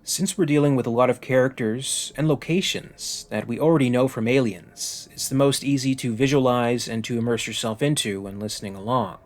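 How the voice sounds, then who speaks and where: A man's voice: medium pitch, fairly quick, few pauses. A man narrating a scripted video essay as the host of a fan review channel.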